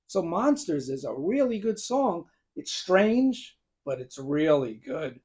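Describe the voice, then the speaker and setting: A man in his fifties with a gruff, worn voice, enthusiastically ranking albums and listing songs to the camera. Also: on the high side. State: gruff, strained voice. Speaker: music video host ranking albums. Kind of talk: casual talk to camera.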